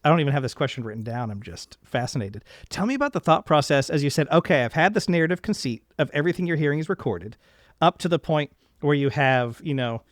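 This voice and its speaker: A man's voice: fairly high pitch, animated, fast, dry recording, studio quality. A man hosting a podcast interview in a casual way, thinking aloud as he builds and rephrases his questions.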